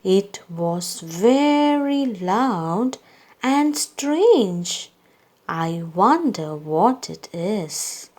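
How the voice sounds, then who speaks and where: Female read-aloud storyteller for small children. Very unhurried pacing, animated intonation, scripted character dialogue. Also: mid-range voice. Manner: slow, deliberate delivery for young children.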